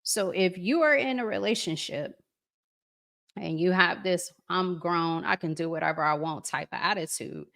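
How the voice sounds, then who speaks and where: A woman's voice: lowish voice, moderate pace, creaky, a bit bright. A woman hosting a casual live chat, talking conversationally and reflectively and putting questions to her listeners. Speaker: host reading and answering viewer comments.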